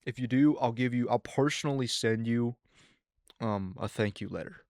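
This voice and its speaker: Young man, eighteen, solo podcast chat, rambling, smooth clear voice, dry close mic. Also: mid-range voice, normal speed, no echo. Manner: rambling, filler-heavy, excitable teen talk. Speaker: podcast host.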